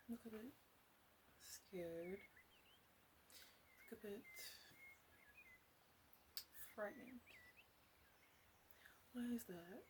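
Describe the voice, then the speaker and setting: A woman playing a flirtatious, chatty witch of the woods in an ASMR roleplay, speaking in a soft, hesitant, playfully rambling way. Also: a little high, background noise, pitched above the performer's own voice, close to an everyday voice. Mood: gentle, curious. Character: flirtatious, teasing forest witch, wry and chatty.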